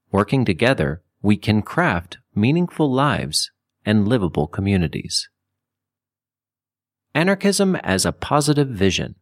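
A man reading scripted nonfiction for an audiobook, with deliberate phrasing. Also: mid-range voice, no room sound, clean studio sound. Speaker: audiobook narrator.

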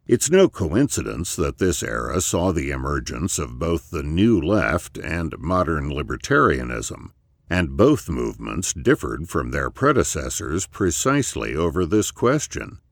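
Very deep, creaky-voiced male narrator. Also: big pitch swings, dry recording, studio quality. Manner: measured, deliberate phrasing with emphatic stresses. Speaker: narrator reading an essay. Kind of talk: scripted narration of a political essay.

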